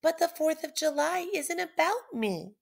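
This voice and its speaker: A woman's voice: very high voice, sing-song, a little slow, creaky, pitched above the performer's own voice, full character voice. A woman voicing a weary, earnest giant female statue from a children's storybook, with dramatic emphasis. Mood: shocked, impassioned.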